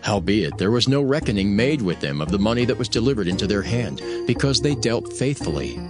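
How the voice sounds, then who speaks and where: A man's voice: lowish voice, sing-song, fairly quick. A man narrating dramatized scripture as a solemn storyteller. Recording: voice over prominent music. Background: music.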